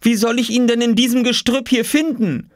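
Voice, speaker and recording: Man voicing an exasperated mother, pitched high. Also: steady tone, normal speed, dry recording, clean studio sound. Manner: calling out, insistent. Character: mother calling out instructions, exasperated. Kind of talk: children's storybook reading.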